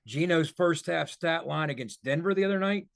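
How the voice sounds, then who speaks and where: A man's voice: on the high side, moderate pace, vocal fry. A man giving conversational football analysis on a podcast, making his points emphatically.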